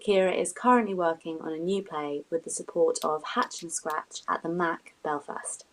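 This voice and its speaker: A woman hosts an arts interview on a video call. Her voice sits a little low, and she speaks as the presenter putting questions to guests. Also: moderate pace, poor audio. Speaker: host and interviewer. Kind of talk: live online arts interview.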